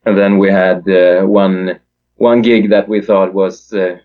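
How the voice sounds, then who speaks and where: A man's voice: low-pitched, level tone, a little slow, narrow, tinny audio. A Swedish man in his thirties, a musician guest in a casual interview, hesitant with lots of fillers. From Sweden.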